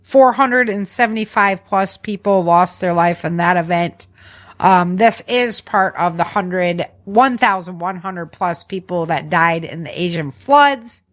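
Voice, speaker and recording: A woman's voice: on the low side, measured pace. An American woman hosting a podcast, running through news in a chatty, informal way with slangy casual commentary. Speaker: podcast host.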